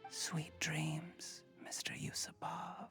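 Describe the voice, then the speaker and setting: An actor playing a ruthless young official in a video game, measured, menacing and smugly composed. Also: male voice, on the high side, level tone, creaky, whispered, over music. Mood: hushed menace. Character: cold, calculating young official turned ruthless schemer.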